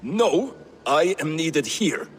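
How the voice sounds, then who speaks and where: An actor playing a resentful Viking warrior in a video game, sarcastic, bitter and defiant. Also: male voice, fairly high pitch, big pitch swings, slow. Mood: scornful. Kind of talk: video game cutscene acting.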